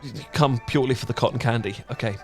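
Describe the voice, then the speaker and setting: A British man playing an awkward, flustered, dorky young man in a romantic audio roleplay, stammering, halting and apologetic as he rambles. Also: mid-range voice, soft background music, natural voice. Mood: rambling, apologetic. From Britain.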